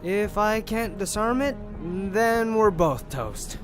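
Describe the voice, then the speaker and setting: A man's voice: sits high, animated, slow pace, music in the background. A man playing a brave, self-sacrificing policeman in an audio crime drama. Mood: urgent.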